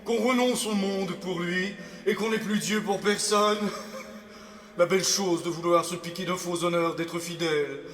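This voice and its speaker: An actor playing a cynical, swaggering aristocratic seducer in a classical French theatre monologue, grandiloquent and swooping between loud boasts and hushed asides. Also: male voice, high-pitched, fairly even intonation, moderate pace, slight background noise. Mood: boastful, impassioned. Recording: echoey, reverberant hall.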